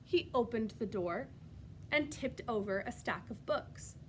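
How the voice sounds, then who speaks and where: Slow, high-voiced woman reading to preschoolers. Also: slight background noise. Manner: expressive, sing-song, addressing children directly. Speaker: preschool teacher reading aloud. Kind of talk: animated storybook read-aloud with questions to young children.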